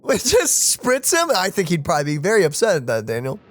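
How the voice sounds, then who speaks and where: A woman's voice: lowish voice, huge pitch swings, fairly quick. A woman streaming a let's play, casually commenting and reading game dialogue aloud. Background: game music.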